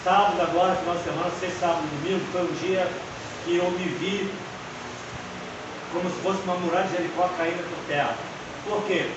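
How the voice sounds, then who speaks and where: A Brazilian man in his fifties delivers a fervent seminary lesson, pressing his listeners with rhetorical questions and personal testimony. His voice sits high and rings through a very echoey room. It is caught from a distance, with steady background noise. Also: fairly quick, pauses often, muffled recording. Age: 50s. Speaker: seminary teacher. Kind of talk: preaching lecture on the Psalms. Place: classroom.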